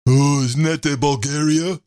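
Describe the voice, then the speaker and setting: A man co-hosting a podcast who jumps in with corrections and quick remarks, laughing readily. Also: a little high, no room sound, clean studio sound. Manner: animated interjections, laughs easily, corrects pronunciations. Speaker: podcast co-host. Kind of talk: casual chat.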